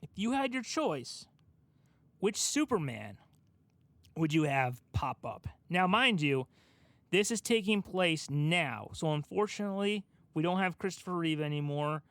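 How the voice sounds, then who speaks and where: Male podcast co-host, higher-pitched voice, informal and animated, recorded close and dry. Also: a little slow, dry recording. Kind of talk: casual chat.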